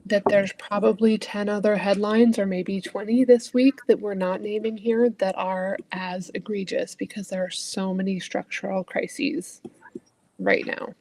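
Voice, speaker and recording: Gravelly-voiced reflective woman minister. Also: mid-range voice, little pitch movement, unhurried, slight background noise. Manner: thoughtful, searching, soft trailing phrases. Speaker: Unitarian Universalist minister. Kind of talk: reflective conversational talk.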